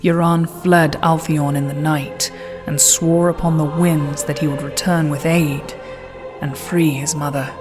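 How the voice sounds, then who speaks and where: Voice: mid-range voice, little pitch movement, a little slow. A narrator reading a scripted fantasy tale in a solemn, formal manner. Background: clear music.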